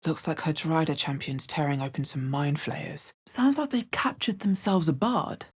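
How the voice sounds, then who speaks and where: A woman playing a wry, resolute, watchful adventurer heroine in a video game, with a deep, husky voice and a measured, understated delivery. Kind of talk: video game character voice lines.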